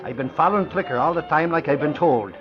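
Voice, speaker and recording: An actor playing an excitable, blustering tail man in an old radio crime drama. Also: on the high side, fast, close to an everyday voice. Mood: agitated, indignant. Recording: muffled old radio recording, music behind.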